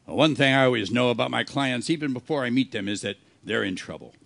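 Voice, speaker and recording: A man playing a world-weary, wisecracking private detective in a hard-boiled radio play, delivering his lines deadpan. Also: mid-range voice, fast talker, creaky. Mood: wry. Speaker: amateur actor in a live radio play. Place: live performance on a cruise ship.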